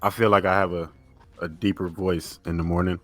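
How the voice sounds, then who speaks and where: A man gives a calm, plain-spoken answer as a guest in an interview. His voice is very deep. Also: a little fast. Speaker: guest YouTube narrator.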